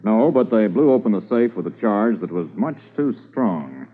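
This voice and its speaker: Senior police inspector, lowish voice, in charge but polite, tinny old radio. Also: male voice, big pitch swings. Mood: grave, instructing. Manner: deliberate, commanding but courteous. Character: senior police inspector, measured, authoritative superior. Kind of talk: 1950s radio drama acting. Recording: tinny 1950s radio recording.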